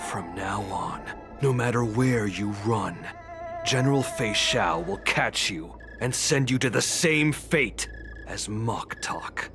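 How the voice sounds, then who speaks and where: An actor voicing a stern soldier who speaks with measured contempt. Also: male voice, fairly low pitch, animated, music in the background.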